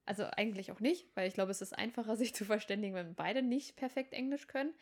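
A young woman from Germany chats easily on a podcast, laughing often and acting out quotes as she goes. Her voice sits at a middle pitch for a woman, with an everyday, relaxed delivery. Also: fast talker. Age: young adult. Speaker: podcast co-host. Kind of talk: casual chat.

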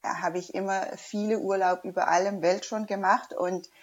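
A woman's voice: mid-range voice, fairly even intonation, moderate pace, lo-fi sound. Hesitant Danish woman speaking German. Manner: hesitant, many fillers and restarts, non-native grammar. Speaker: guest, diving instructor. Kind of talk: casual interview chat.

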